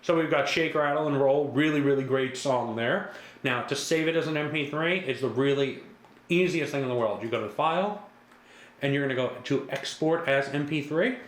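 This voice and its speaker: A man giving a casual, reassuring step-by-step software tutorial as a product demonstrator. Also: medium pitch, moderate pace. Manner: casual, repetitive, reassuring instructor. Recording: echoey room with faint background noise.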